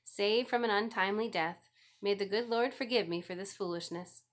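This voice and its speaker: A woman voicing a calm, kindly farmer father in a storybook, with a measured, gently firm, dry manner. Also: medium pitch, lightly acted. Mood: wry.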